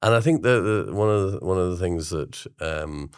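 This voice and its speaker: A middle-aged Irish man chatting casually as an interview guest, with halting, hesitant phrasing. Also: low voice, smooth, no echo, clean studio sound. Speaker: interview guest, amateur pantomime director.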